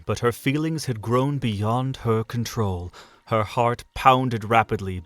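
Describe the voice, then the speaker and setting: A man narrating a romantic fan audio drama, measured and soft. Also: medium pitch, no room sound.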